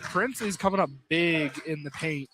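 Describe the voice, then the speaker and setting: A man's voice: on the high side, normal speed. A young man doing live basketball play-by-play over a noisy gym broadcast. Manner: joking, playful. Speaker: sports play-by-play commentator. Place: indoor basketball field house.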